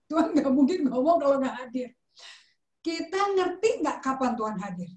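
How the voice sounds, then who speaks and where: A woman's voice: high voice, animated, moderate pace, a little mellow, roomy sound. A woman pastor teaching in a conversational sermon style, mixing in English words and asking rhetorical questions.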